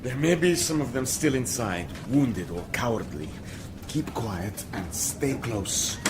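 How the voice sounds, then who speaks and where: A man's voice: medium pitch, expressive, measured pace, roomy sound, long reverb tail, heavy background noise, natural voice. A male actor in a radio drama playing a brave young rescuer loyal to the king, urgent and commanding. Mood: hushed, urgent. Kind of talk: broadcast radio drama acting.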